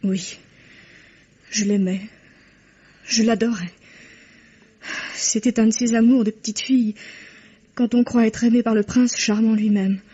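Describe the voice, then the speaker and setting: A woman's voice: fairly high pitch, steady tone, measured pace, pauses often, dark tone, slightly rough, lo-fi sound. An actress playing a frank, defensive young woman burdened by guilt, speaking in an earnest, dramatic old radio mystery style. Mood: anguished confession. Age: young adult. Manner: earnest, dramatic confessional delivery.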